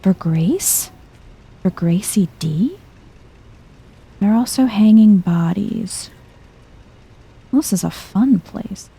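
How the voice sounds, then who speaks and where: Very soft, dark-voiced woman, hushed and breathy. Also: mid-range voice, lively intonation, poor audio. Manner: hushed, unhurried, musing to self, often slipping into whisper. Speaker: video game streamer, let's player. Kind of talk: soft-spoken ASMR gameplay commentary.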